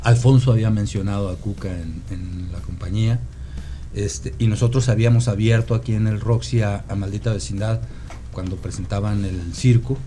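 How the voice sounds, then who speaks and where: A man with a Guadalajara Mexican accent, a musician guest telling stories in casual conversation, halting and meandering, often seeking agreement. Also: fairly low pitch, fairly even intonation, mellow, slight background noise. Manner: halting, meandering storyteller who seeks confirmation with "¿no?". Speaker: podcast guest, rock musician.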